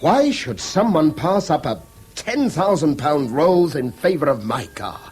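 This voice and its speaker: An actor playing a proud, articulate, middle-aged British schoolmaster with a testy streak in a 1970s radio drama. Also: male voice, on the high side, sing-song, measured pace, darkish tone, slightly rough, exaggerated voice. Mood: indignant. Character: proud, irascible educated schoolmaster, an older man.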